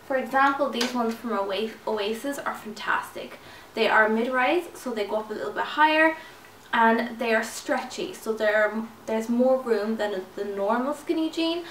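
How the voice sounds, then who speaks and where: A woman's voice: fairly high pitch, slight background noise. A young woman in her twenties with a slight lisp from new braces, chatting upbeat fashion tips to her viewers. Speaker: fashion vlogger. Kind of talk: casual styling tips to camera. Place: small indoor room with clothes rail. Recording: echoey room, slightly distant mic.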